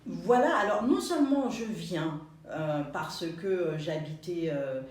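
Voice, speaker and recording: A woman guest on a radio interview, talking in a halting way with many fillers. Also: lowish voice, lively intonation, darkish tone. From France. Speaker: guest author and sociologist. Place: radio studio. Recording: echoey, distant mic.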